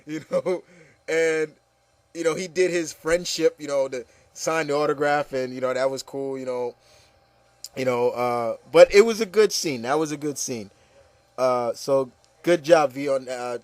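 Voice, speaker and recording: A man's voice: a little high, no echo. Rambling, enthusiastic man hosting casual movie chat. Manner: rambling, often says "you know". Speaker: host of an opinion show.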